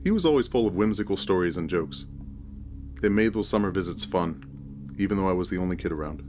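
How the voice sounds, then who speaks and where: Low voiced guy reading a scary story, first person, takes his time with it. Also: animated, fast, a little mellow. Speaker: horror fiction narrator.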